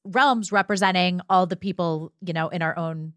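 A woman's voice: fairly low pitch, normal speed, dry recording. A woman chatting casually as a podcast guest. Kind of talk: casual chat.

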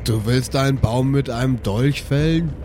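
A man's voice: mid-range voice, normal speed, darkish tone. A man playing a tabletop game, chatting casually as he improvises suggestions with the other players.

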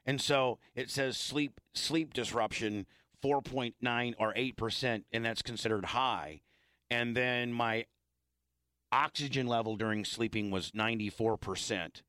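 Hoarse man rambling on air. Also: medium pitch, measured pace, bright, forceful tone, dry recording, studio quality. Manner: rambling, stop-start, self-deprecating. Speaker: radio show host. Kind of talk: casual on-air banter. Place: radio studio.

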